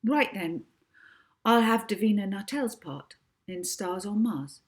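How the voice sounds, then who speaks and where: A woman's voice: medium pitch, animated, takes it slowly, voice pushed up. A woman voicing a bossy, confident young girl hypnotist with a demanding, imperious manner in a storybook reading.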